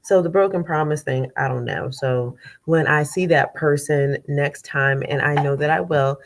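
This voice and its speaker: A woman's voice: sits low, normal speed, vocal fry, a little hiss or hum. A congested woman conflict coach hosting her show, chatting casually in a rambling way with many fillers and repeats. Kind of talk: casual live show chat.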